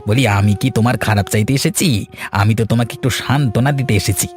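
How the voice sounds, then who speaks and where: A man's voice: medium pitch, quick pace, faint music behind, close to an everyday voice. A man voicing a nosy, smug village neighbor in a cartoon, mocking under a show of consolation.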